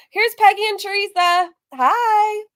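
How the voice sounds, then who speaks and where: A woman's voice: extremely high pitch, a bit bright, dry recording. A woman hosting a reaction stream, talking casually to her viewers in a teasing, mocking manner. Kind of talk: casual commentary to live viewers.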